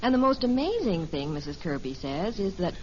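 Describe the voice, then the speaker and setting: Expressive, lower-pitched radio housewife. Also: female voice, a little fast. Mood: warm, curious. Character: warm, attentive sitcom wife and mother. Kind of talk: 1950s radio sitcom acting. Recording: muffled old radio recording, faint hiss.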